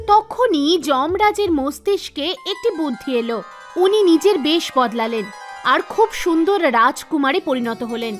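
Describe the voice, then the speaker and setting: Very high-pitched woman narrating a tale. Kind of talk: scripted children's story narration.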